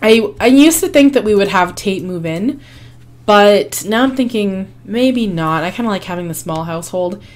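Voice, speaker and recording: A woman hosting a life-sim game video, her voice hoarse and fading, chatting casually with frequent ums and small laughs. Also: mid-range voice, expressive, moderate pace. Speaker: gaming channel host. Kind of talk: casual gameplay commentary.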